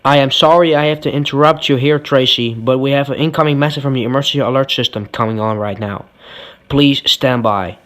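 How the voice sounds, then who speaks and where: TV news anchor, male, played by an actor, average pitch, formal delivery tipping into disbelief. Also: fairly quick, a bit bright, close to an everyday voice. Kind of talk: acted news broadcast.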